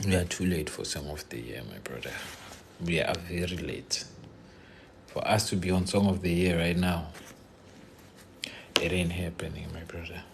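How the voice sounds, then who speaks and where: A man's voice: deep voice, steady tone, normal speed, gravelly, long pauses, background noise. A young South African man from Limpopo, chatting casually on a live stream, joking and mixing English with Zulu slang. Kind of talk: casual live stream chat answering viewers.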